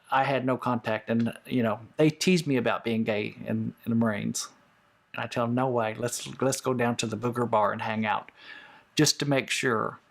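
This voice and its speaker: A former Marine in a personal interview, talking frankly and in a rambling way, with a gravelly voice. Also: male voice, medium pitch.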